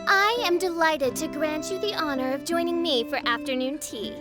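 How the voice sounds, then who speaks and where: A woman's voice: high-pitched, moderate pace, loud music behind the voice, lightly acted. A woman voicing a haughty teenage self-styled princess in a video game, theatrical and pompous, with archaic, flowery speech. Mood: grandiose.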